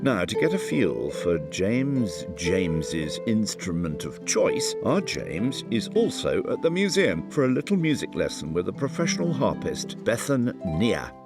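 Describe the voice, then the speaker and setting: A man's voice: fairly low pitch, huge pitch swings, a little slow, hardly any pauses. A man narrating a documentary in a scripted, wry voice-over. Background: background music.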